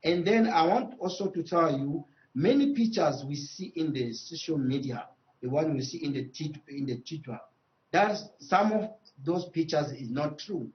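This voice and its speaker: A man with a Congolese accent speaking as a traditional leader in a news interview, emphatic, with repeated phrases and halting phrasing. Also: a little high, expressive, highs cut off.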